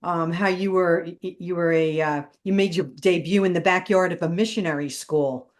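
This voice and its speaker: A woman's voice: fairly low pitch, normal speed. A woman hosting a faith show, asking questions and affirming her guest. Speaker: show host. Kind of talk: interview questions.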